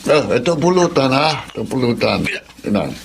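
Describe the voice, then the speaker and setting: A tipsy, chummy Filipino drinking companion in a radio drama. His medium-pitched voice moves around in a lively, generous-hearted way. Clear noise runs behind the voice. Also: moderate pace. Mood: tipsy, jovial.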